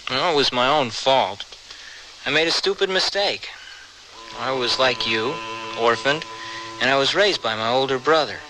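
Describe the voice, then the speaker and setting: A man's voice: mid-range voice, moderate pace, pauses often, close to an everyday voice. A man playing a regretful, folksy young ex-convict cowboy in an old-time radio western. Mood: regretful, frank. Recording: slightly noisy radio drama recording.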